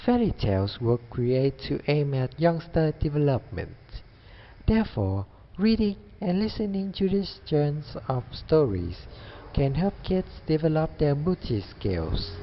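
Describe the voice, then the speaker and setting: Lively-pitched young man, muffled recording. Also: measured pace. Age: young adult. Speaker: student presenter. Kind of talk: scripted group presentation. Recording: dull audio with faint background noise.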